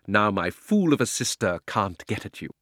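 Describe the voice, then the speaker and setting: Sly, theatrical old magician uncle. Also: male voice, on the low side, big pitch swings, moderate pace, fairly bright tone, no echo, clean studio sound. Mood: sly, menacing. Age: older. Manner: theatrical, oily, mock-courteous. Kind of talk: dramatic audiobook character reading.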